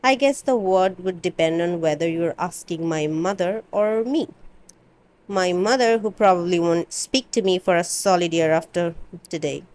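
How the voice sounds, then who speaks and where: A woman reading a first-person novel aloud in an expressive, dramatic amateur audiobook style. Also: fairly low pitch, normal speed. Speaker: amateur book reader.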